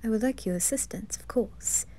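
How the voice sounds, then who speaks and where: A woman's voice: a little high, normal speed, natural voice. A woman as a polite, whispering butler. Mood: calm courtesy. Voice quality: soft, whispery. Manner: formal, courteous. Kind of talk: ASMR roleplay acting.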